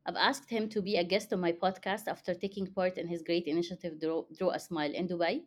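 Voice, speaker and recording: Low-pitched woman hosting an interview. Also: steady tone, quick pace. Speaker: podcast host. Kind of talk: conversational interview.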